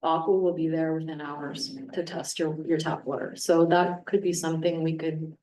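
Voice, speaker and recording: A woman official answers at a council meeting in a plain, informative way. Her voice is lowish and mellow. She is heard from a distance in an echoey chamber. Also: little pitch movement, moderate pace, a little hiss or hum. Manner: matter-of-fact, informative. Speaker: chief administrative officer.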